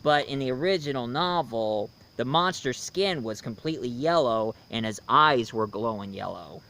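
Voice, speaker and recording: A man in his thirties giving a casual, unscripted review as the host, haltingly, often searching for words and repeating himself. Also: medium pitch, expressive, bright, forceful tone.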